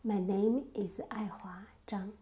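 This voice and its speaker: Very dark, slow-speaking Chinese-native woman. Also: mid-range voice, fairly even intonation. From China. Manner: slow, halting English. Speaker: oral history interviewee.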